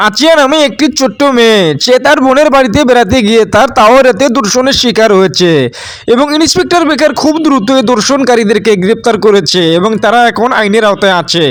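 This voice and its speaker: A man plays an earnest cartoon news reporter with a Bangladeshi accent. His high voice moves quickly in a solemn, declamatory appeal. The recording is dry. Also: a bit bright, no echo. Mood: urgent, grave. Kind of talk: amateur cartoon news narration.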